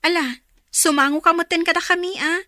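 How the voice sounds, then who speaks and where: High, bright, caring Filipina big sister. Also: female voice, fairly even intonation, fairly quick. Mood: welcoming. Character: Nika, supportive, caring older sister, young college woman. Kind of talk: Ilocano radio drama acting.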